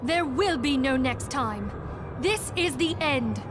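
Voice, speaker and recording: An actress playing a determined, earnest heroine in a fantasy video game, speaking with urgent emphasis. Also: female voice, high voice, animated, takes it slowly. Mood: resolute. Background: music.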